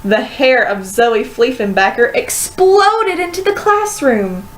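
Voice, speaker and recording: A woman reading a children's story aloud with expressive, dramatic and deliberate phrasing. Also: fairly high pitch. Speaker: storybook reader.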